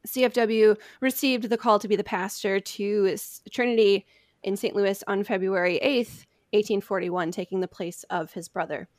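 A woman's voice: medium pitch, steady tone, moderate pace, dry recording, clean studio sound. An American woman hosting a conversational history story, telling it from notes.

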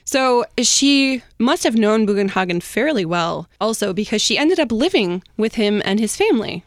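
A woman with a fairly high voice tells a history story to her co-hosts. She is informal and chatty, dropping in slangy asides. The sound is very dry, with no room on it. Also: animated, moderate pace, no echo. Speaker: host telling a history story.

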